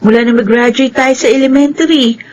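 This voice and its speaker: A Filipino woman playing a supportive high school girl in a radio drama, chatty and sympathetic. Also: steady tone, a little fast, a little mellow, lo-fi sound. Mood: sympathetic, curious.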